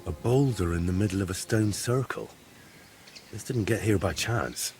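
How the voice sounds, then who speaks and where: An actor playing an earnest young adventurer in a video game, polite but easily exasperated. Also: male voice, lowish voice, moderate pace, background noise, close to an everyday voice. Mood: musing. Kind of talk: video game dialogue acting.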